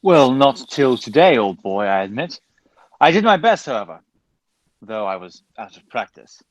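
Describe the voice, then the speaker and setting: A man playing a flippant, easy-going young gentleman in an amateur radio comedy. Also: medium pitch, sing-song, moderate pace, no echo, close to an everyday voice, rough recording. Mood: breezy.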